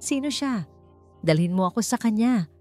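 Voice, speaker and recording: A woman's voice: medium pitch, animated, normal speed, a little mellow, pitched above the performer's own voice, lightly acted. A woman narrator voicing an earnest, insistent businessman and devoted son, with a Filipino accent. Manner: insistent, urgent. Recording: faint music bed under the voice.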